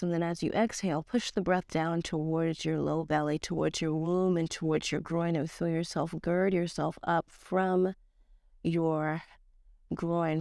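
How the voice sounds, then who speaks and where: A woman with a stuffed-up, slightly nasal voice, leading a slow guided yoga class in a casual, slangy way. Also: deep voice, creaky, no echo, clean studio sound. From Nigerian-American. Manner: casual slangy teacher, slow calm cues. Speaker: yoga teacher.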